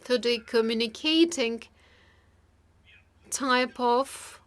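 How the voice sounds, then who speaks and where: Woman, high-pitched, live interpreter, formal keynote register, halting piecemeal phrasing, stretched words. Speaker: simultaneous interpreter.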